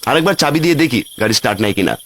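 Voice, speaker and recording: A man playing an excitable city office worker on a village trip in a cartoon ghost story. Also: medium pitch, racing, a little hiss or hum. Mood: exasperated. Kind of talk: cartoon horror story voice acting.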